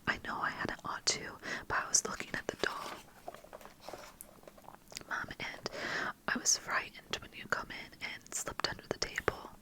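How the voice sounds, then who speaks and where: Frightened, tearful scullery maid voiced by a whispering reader; begging and apologetic, kept hushed. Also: measured pace, pauses often, close to an everyday voice, low-quality recording. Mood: frightened, pleading. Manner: pleading, apologetic, with working-class speech. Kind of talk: whispered ASMR story reading.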